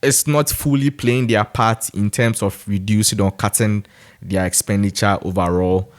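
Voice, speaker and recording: A man with a Ghanaian accent shares his opinions as an informal commentator. His voice sits on the low side. He talks conversationally, in a frank and critical manner. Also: steady tone, moderate pace. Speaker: commentator giving his views on national news.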